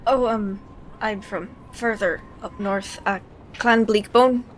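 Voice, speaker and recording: A woman's voice: mid-range voice, fairly even intonation, slow pace, slight background noise. A woman playing a tabletop game, improvising her character's lines in a casual style.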